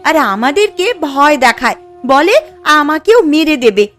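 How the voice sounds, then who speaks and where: A woman's voice: high-pitched, big pitch swings, normal speed, a bit bright, soft background music. A woman playing a frightened young village daughter in a cartoon moral story, plaintive and earnest. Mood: fearful.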